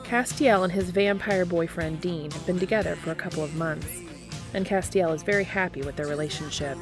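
A woman's voice: fairly low pitch, a little fast, over music. A woman reading a story aloud as scripted audiobook narration. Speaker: audiobook reader of fan fiction.

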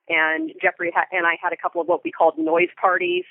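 An American woman being interviewed as a Foley artist, explaining things thoughtfully and in detail, with halting pauses. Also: lowish voice, big pitch swings, no room sound, phone-quality sound. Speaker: guest, theatre Foley artist. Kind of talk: interview conversation.

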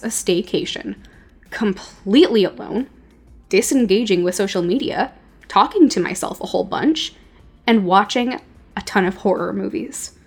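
A woman hosting a podcast, delivering a scripted but conversational monologue of advice with wry emphasis and deliberate repetition. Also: mid-range voice. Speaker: podcast host giving advice to creators.

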